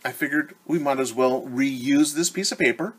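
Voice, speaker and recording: Male preschool teacher for read-aloud, forties, mid-pitched and a little husky, animated, engages kids directly. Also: normal speed. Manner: animated, addresses children directly with questions and repeats key words. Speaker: children's teacher reading a storybook. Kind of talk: story time read-aloud for young children. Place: living room at home, indoors.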